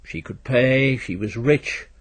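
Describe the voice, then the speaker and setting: Man narrating a story, bit of husk in the voice, normal mid pitch. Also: slow pace, slightly rough. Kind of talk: scripted literary narration with voiced dialogue.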